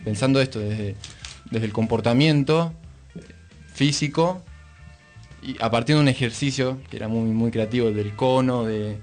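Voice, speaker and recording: Tentative Argentine man, mid-pitched interviewer. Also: moderate pace, pauses often, darkish tone, faint music behind. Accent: Argentine Rioplatense. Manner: tentative, builds questions in fragments. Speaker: radio interviewer. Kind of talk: casual, thoughtful questioning. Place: radio studio.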